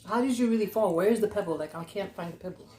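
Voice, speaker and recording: A young adult woman telling stories casually and conversationally, animated and giggly, acting out what people said. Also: medium pitch, racing. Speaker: podcast host telling stories. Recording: echoey, roomy sound.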